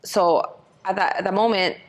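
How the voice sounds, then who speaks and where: A young woman who grew up speaking Portuguese first, a professional boxer, telling her story in a relaxed interview, with lots of "like" fillers and acted-out quotes. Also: mid-range voice, moderate pace. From Canada. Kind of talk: casual interview talk.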